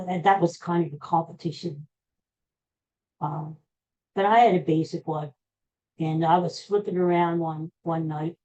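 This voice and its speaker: Older American man, very mellow, muffled recording. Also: on the high side. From Pennsylvania, USA. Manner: rambling, halting, self-deprecating. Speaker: podcast host. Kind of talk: casual personal storytelling. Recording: dull, muffled lo-fi audio.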